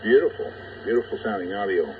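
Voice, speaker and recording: A man's voice: fairly low pitch, very animated, fairly quick. An American man chatting on air as an amateur radio operator, heard through a radio with phonetic spellings. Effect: heard over ham radio. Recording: muffled narrow radio audio, faint static. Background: faint radio noise.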